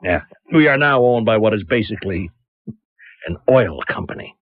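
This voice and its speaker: Husky, stammering, flustered publisher. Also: male voice, on the low side, animated, normal speed, no echo. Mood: resigned. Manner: hesitant, stammering, apologetic. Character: flustered, evasive businesslike male book publisher. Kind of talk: old-time radio mystery acting. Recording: dry, muffled old radio broadcast.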